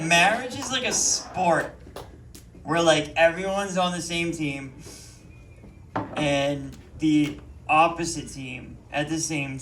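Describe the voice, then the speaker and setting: A man with a Boston accent doing a rambling, self-mocking stand-up set on a comedy club stage, with plenty of ums. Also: a little high. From Boston, USA. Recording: roomy, mic at a distance.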